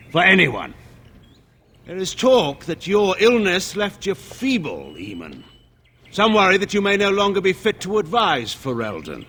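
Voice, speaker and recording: Imperious regent-general for a fantasy game: scornful, commanding, highly varied intonation. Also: male voice, creaky, bright, forceful tone, slightly rough, full character voice. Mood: scornful, menacing. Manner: imperious, formal oratory. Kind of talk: dramatic fantasy game acting.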